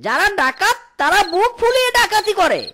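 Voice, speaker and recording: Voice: extremely high pitch, big pitch swings, fairly quick, very noisy, full character voice. An actor voicing a boastful, bossy male fox bandit boss in a cartoon, full of exclamations. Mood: blustering. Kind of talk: cartoon voice acting. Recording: loud music under the voice.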